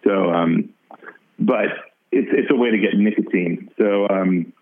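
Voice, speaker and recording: A man with a raspy voice, talking as a musician guest in a casual interview, halting and full of restarts. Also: deep voice. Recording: tinny phone-like line.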